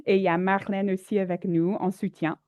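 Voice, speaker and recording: A woman hosts a casual podcast interview, asking friendly questions with a slight cold in her voice. Her tone is mellow and dark, and the sound is dry and close, with no room echo. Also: mid-range voice, flat delivery, fairly quick, smooth. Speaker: podcast host.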